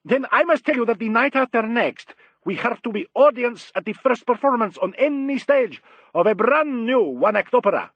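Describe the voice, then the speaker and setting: A man's voice: very high voice, expressive, normal speed, no echo, dull audio, voice pushed up, exaggerated voice. A man voicing a worldly Italian singing teacher in an audiobook, with an Italian accent and a courtly, theatrical, dryly mocking manner. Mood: dry, amused. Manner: courtly, theatrical, dry, formal phrasing. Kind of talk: audiobook character dialogue.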